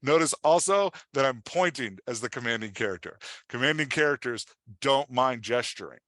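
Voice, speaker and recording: A man's voice: mid-range voice, lively intonation, normal speed, a bit bright, dry recording. A man giving an informal workshop as a writing coach, explaining with examples and often checking in with the listener. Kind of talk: informal instructional presentation.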